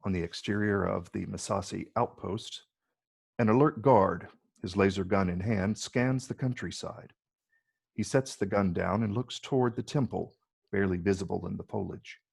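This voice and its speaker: Brief: male narrator for screenplay directions, low voice with husky grain, measured delivery. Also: darkish tone. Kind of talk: scripted narration.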